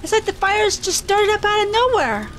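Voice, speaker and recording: A woman's voice: extremely high pitch, big pitch swings, moderate pace, fairly bright tone, slight background noise, full character voice. A woman acting in an audio drama as a concerned, helpful neighbour. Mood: concerned, apologetic. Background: faint background music.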